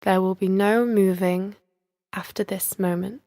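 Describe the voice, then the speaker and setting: A woman leading a guided yoga nidra relaxation as a teacher, speaking in a soothing, measured, instructional manner with gentle pauses. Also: mid-range voice, fairly even intonation, no room sound, studio quality.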